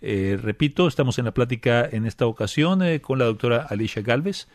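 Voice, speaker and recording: A man hosting a radio call-in show from a studio, speaking in a measured way with frequent "eh" fillers. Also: mid-range voice, expressive, no room sound.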